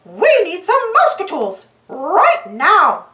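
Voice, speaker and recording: Lady doing happy lil boy mouse from a kids storybook, super high, swoopy, eager. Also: huge pitch swings, extremely slow, bright, forceful tone, roomy sound, full character voice. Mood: eager, calling out. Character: Mickey, cheerful eager little male cartoon mouse. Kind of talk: children's storybook character voice.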